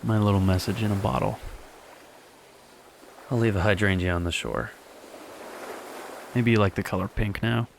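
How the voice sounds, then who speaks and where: A man's voice: lowish voice, fairly even intonation, normal speed, gravelly, long pauses. An actor playing a wistful, nostalgic young man in a radio drama monologue, hesitant and intimate, speaking to a lost love. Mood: nostalgic, tender. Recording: ocean waves and ambient noise behind. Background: ocean waves.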